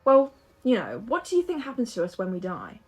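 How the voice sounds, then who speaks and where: A woman's voice: fairly high pitch, huge pitch swings, a little fast. A woman acting in an audio drama as a restless, hopeful young woman who chats in a musing, playful way. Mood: musing, curious.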